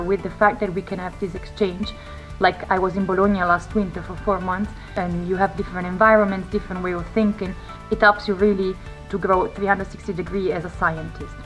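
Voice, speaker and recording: A young woman from northeast Italy, a PhD researcher speaking carefully in a video testimonial, with frequent fillers and restarts. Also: fairly low pitch.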